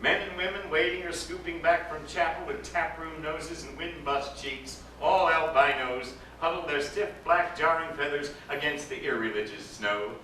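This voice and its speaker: A man, an actor, giving an expressive, dramatic reading of a literary story aloud. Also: on the high side, moderate pace, hardly any pauses. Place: indoor live reading to a gathering. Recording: echoey room, distant mic, background noise.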